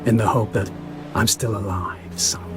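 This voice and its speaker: An actor playing a weary, bitter immortal vampire father who longs for death, with brooding, dramatic delivery for a dark fantasy game. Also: on the low side, fairly even intonation, moderate pace, natural voice. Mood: grim, brooding. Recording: music under the voice.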